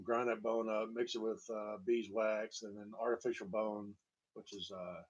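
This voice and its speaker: A man telling a personal story in a halting, digressive monologue with a wry, emphatic manner. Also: on the low side, highs cut off. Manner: halting, digressive, wry, chuckling at grim details. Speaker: storyteller and host. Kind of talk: rambling personal monologue about own medical history.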